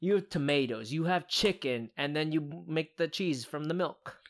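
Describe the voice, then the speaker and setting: A woman's voice: sits low, moderate pace. A young woman co-hosting a podcast in casual chat, rambling through stories in a laid-back way. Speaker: podcast co-host.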